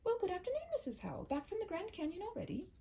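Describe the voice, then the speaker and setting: A polite storybook schoolteacher, put on by a woman reader, wryly amused and courteous. Her delivery rises and dips a great deal, with a creaky edge. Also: very high-pitched, big pitch swings, rapid-fire, mellow, slight background noise, voice pushed up, full character voice.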